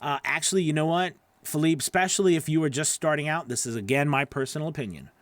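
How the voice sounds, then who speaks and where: A man's voice: fairly high pitch, fast talker, dry recording. A man in his forties giving casual livestream advice in an emphatic, encouraging way, repeating phrases for stress, close on a studio microphone. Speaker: host, voice-over coach. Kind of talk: casual livestream advice, answering viewer questions. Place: small home studio, indoors. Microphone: close studio microphone on boom arm.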